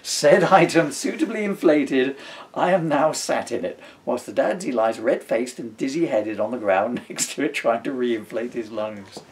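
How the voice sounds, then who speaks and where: A man's voice: medium pitch, normal speed. A middle-aged British man chatting casually and reading his own comic writing aloud, rambling and playful as he digresses. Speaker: father, author and stage performer. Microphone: camera mic.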